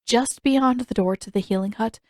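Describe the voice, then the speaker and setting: A woman's voice: a little high, moderate pace, darkish tone, dry recording. Woman narrating a story, dry close sound. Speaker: fan fiction narrator. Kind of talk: scripted audiobook narration.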